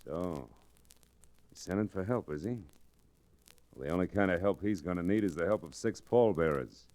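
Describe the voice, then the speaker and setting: An actor playing a cold, deliberate, crooked storekeeper villain in an old-time radio western. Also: male voice, low-pitched, expressive, a little mellow. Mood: menacing. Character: menacing, smooth-talking crooked male storekeeper villain. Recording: restored vintage radio drama recording.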